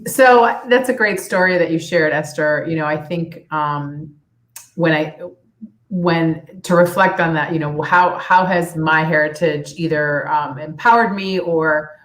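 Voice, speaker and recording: A woman's voice: low-pitched, unhurried. A woman who grew up partly in Peru, a nonprofit leader speaking earnestly and reflectively on a video call panel, with many restarts. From United States, raised partly in Peru. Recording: echoey room on a video call.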